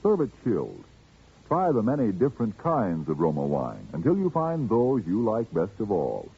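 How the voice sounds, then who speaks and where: A man's voice: huge pitch swings, moderate pace. A man reading a persuasive commercial in an old radio broadcast. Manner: smooth, persuasive sales pitch. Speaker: commercial announcer. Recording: dull 1940s radio recording.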